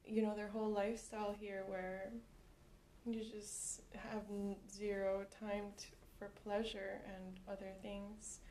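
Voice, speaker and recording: A woman talking in a casual chat, soft and hesitant. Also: medium pitch, flat delivery, measured pace. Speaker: guest. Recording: echoey room with faint background noise.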